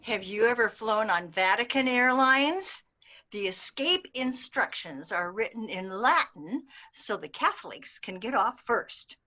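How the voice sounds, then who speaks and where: High bright lady reading jokes slow on a video call, bit muffled. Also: animated. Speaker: community theater reader. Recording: dull video call audio.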